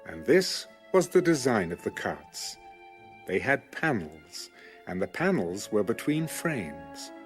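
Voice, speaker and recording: A man reading scripture as an audiobook narrator in a measured, formal manner. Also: lowish voice, sing-song, soft background music.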